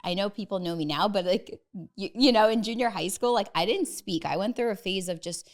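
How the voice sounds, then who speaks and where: A woman's voice: mid-range voice, brisk. A woman in her thirties whose first language is Russian, a dietitian guest on a podcast, telling her own story earnestly and thinking aloud with many fillers. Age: 30s. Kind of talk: podcast interview, personal story.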